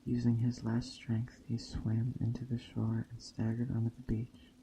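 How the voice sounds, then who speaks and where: A man reading a myth aloud as a storyteller, measured, with the odd stumble over the text. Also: medium pitch, completely flat delivery, vocal fry, a little hiss or hum, rough recording.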